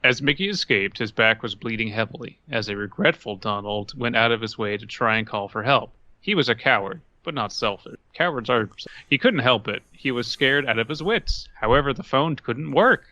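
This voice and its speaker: A man's voice: mid-range voice, expressive, normal speed, bright, forceful tone, no room sound. A man co-hosting a comedy podcast, reading a story aloud and adding casual commentary. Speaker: podcast co-host. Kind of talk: comic story reading and banter. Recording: dry, slightly lo-fi microphone.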